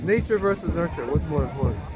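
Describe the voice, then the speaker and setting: High-voiced man, casual interviewer. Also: expressive, fast talker, loud music behind the voice. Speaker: street interviewer.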